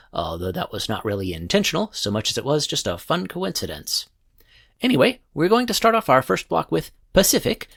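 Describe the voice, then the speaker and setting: A man hosting a music podcast, reading scripted commentary in a playful, animated way. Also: medium pitch, gravelly, breathy edge. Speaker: podcast host. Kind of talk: scripted music show narration.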